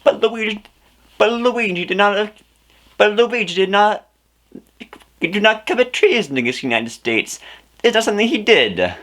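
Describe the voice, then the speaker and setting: Casting: male actor as high, bright cartoon plumber hero, distraught, pleading, stammers and repeats himself. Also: very animated, normal speed, long pauses. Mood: protesting.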